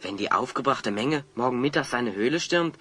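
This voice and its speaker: An actor playing a level-headed young adventurer hero, articulate and courteous, in a children's audio drama. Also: male voice, medium pitch, expressive, moderate pace, bright, forceful tone, husky, faint music behind. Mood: resolute, cautious.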